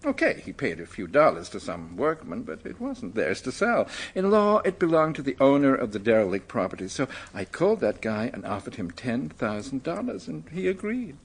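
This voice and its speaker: An actor playing an evasive, easily flustered American manuscript collector in a radio drama, polite but defensive, sometimes stammering. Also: male voice, mid-range voice, huge pitch swings, moderate pace, vocal fry, few pauses, breathy edge, full character voice.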